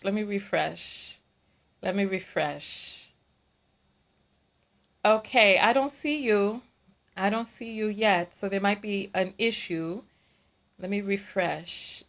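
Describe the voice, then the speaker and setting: A woman with a New York accent hosting a casual livestream, chatting and reading viewer comments, laughing and repeating herself. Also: medium pitch. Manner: chatty, animated, laughs often, repeats phrases. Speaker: livestream host.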